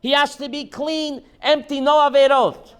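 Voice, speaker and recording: A rabbi teaching a class, reading text slowly and then explaining it in while quizzing his students. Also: very high-pitched, fairly even intonation, fairly bright tone. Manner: reads Hebrew text aloud slowly, then explains in English, quizzing listeners.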